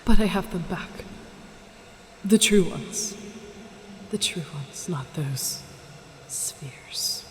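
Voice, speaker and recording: A woman playing a haunted, dying burned woman in a surreal audio drama, pleading in halting gasps. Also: on the low side, expressive. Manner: halting, gasping, pleading delivery. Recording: cavernous reverb with background noise.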